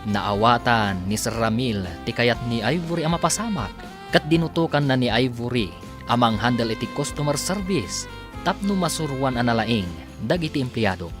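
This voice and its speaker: Man announcing over lively theme music. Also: mid-range voice. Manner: measured, announcing. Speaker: radio drama narrator and announcer. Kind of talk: scripted radio narration.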